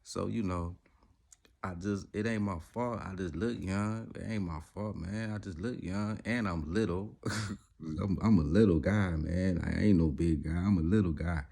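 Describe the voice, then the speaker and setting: Late-40s man, gravelly and relaxed, chatty vlogger. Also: sits low, normal speed. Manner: laid-back, rambling, laughs often, slangy. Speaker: vlogger, father. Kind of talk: casual vlog talk to camera.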